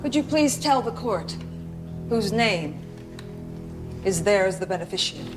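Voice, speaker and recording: A woman's voice: medium pitch, sing-song, unhurried. A woman playing a composed, methodical prosecutor in an audio drama, formal and probing. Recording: music behind the voice.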